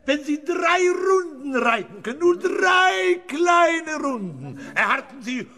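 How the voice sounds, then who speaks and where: Radio play ringmaster: very high voice, slow grand delivery, excitable showman. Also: heavily acted. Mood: grandiose. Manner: grandiose, theatrical showman's patter. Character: excitable male circus ringmaster announcing grand attractions. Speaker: circus announcer. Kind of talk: 1970s German children's radio play acting.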